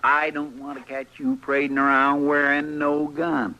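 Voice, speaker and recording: A folksy, fussy helper at a frontier marshal's office. His rural American drawl sits fairly high and rambles earnestly, on a vintage radio western recording with some hiss. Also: completely flat delivery, moderate pace, bright, forceful tone, muffled recording. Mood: indignant, scolding. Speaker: radio drama actor. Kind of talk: old-time radio western acting.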